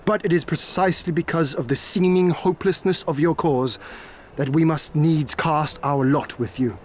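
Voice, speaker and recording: A man's voice: a little high, darkish tone, slight background noise, close to an everyday voice. A man playing a resolute young prince. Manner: formal, archaic, measured. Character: noble young male prince, earnest and resolute. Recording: game soundtrack under the voice.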